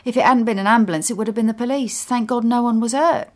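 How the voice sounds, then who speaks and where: A man's voice: very high voice, fast talker, pitched above the performer's own voice. A woman voicing a blunt, chatty, exasperated male neighbour with a Cockney accent. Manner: blunt, colloquial.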